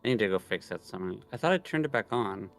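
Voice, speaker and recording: Male co-streamer, lowish gravelly voice, casual teasing banter, faint music behind. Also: expressive, fast. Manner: teasing, joking. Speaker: streamer's friend and co-player.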